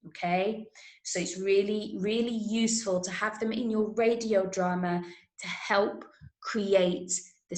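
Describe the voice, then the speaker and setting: A woman with an English accent leading an interactive online drama lesson in an encouraging way, full of praise and quick checks that everyone follows. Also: medium pitch. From Manchester, England. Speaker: drama workshop teacher. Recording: roomy, echoing online class audio.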